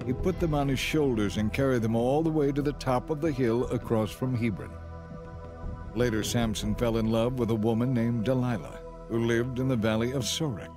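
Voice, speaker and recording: A man narrating a dramatized Bible story in a measured, scripted storytelling style. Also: medium pitch.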